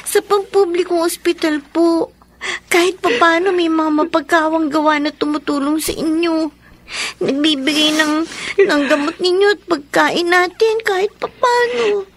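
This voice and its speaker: A woman's voice: very high-pitched, a little slow. A woman playing a worried, dutiful young daughter in a radio drama, plaintive and caring. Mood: worried, pleading. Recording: faint background noise, ambience.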